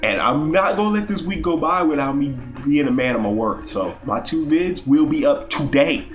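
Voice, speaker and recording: A man's voice: fairly high pitch, lively intonation, normal speed. Sniffly young man rambling a game review. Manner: rambling, profane, stammering restarts. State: sick with a cold, sniffling. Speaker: gaming YouTuber.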